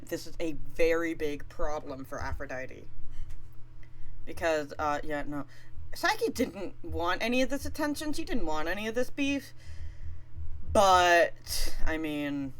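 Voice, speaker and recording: A woman's voice: fairly low pitch, a bit bright, rough recording. A woman livestreamer retelling a story off the cuff in a chatty, informal way with playful asides. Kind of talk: casual, improvised retelling of a myth.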